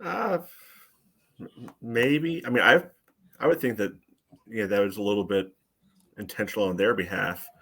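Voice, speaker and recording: Lower-voiced man analysing a match casually. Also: normal speed, vocal fry, pauses often, a bit bright, slightly rough. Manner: hedging, peppers talk with "you know". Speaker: co-host of a soccer fan podcast. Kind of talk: casual sports analysis chat.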